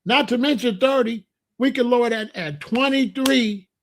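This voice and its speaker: Older man, high-pitched voice, blunt, repetitive, opinionated. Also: moderate pace, a little hiss or hum. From Chicago, USA. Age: 60s. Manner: emphatic, repetitive, blunt street talk. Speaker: commentator. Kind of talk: opinionated monologue.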